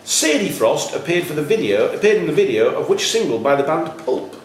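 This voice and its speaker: A man working as quizmaster, reading questions to contestants in a measured way with informal asides. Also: on the high side, sing-song. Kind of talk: reading pub-style quiz questions to contestants. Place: echoey room at a cricket club. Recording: very echoey room, faint noise.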